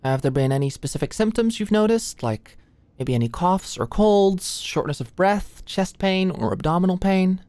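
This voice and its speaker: A woman's voice: fairly low pitch, lively intonation, moderate pace, dark tone, no echo, lightly acted. A young woman playing an anxious, friendly medical student in an ASMR roleplay, chatty and hesitant, easily flustered. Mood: friendly, professional. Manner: hesitant, chatty, nervous, conversational. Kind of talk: ASMR roleplay, acted casual dialogue.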